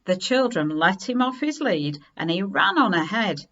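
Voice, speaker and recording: A woman reading a children's story aloud in an expressive, unhurried way with dramatic emphasis. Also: medium pitch, muffled recording.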